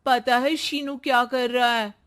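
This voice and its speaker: A woman's voice: fairly high pitch, steady tone, a little slow, fairly bright tone, smooth. A woman playing a scheming, falsely sweet young daughter in a moral story, with a wheedling way of talking. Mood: insinuating worry. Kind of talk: acted moral story dialogue.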